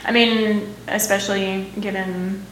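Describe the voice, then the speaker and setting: A woman's voice: medium pitch, little pitch movement, unhurried, a bit bright, roomy sound, slight background noise, poor audio. A woman teacher co-hosting a podcast, thoughtful and hesitant, with frequent ums. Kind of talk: casual educational podcast chat.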